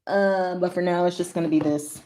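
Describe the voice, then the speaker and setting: A woman's voice: on the low side, fairly even intonation, brisk, mellow, a little hiss or hum. A woman hosting a casual livestream, playful and informal, chatting and reading stories aloud. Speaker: host of a livestream.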